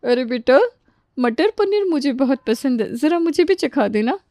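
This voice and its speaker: A woman's voice: high voice, animated, moderate pace, warm tone, no echo, clean studio sound, voice pushed up. A woman voicing a greedy, wheedling older neighbour uncle in a children's cartoon story.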